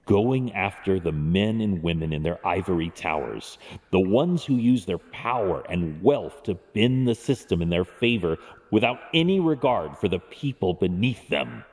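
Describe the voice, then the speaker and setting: An actor playing a brooding, driven masked vigilante in an audio drama, grave and measured. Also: male voice, sits low, animated, a little mellow. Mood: grim resolve. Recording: long cavernous hall reverb.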